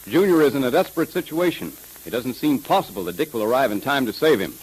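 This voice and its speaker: A man's voice: medium pitch, animated, quick pace, slightly rough, rough recording. A man with an American accent announcing in emphatic, polished 1930s radio style, selling as he goes. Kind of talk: 1930s radio announcing, scripted sales pitch. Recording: hissy 1930s radio recording.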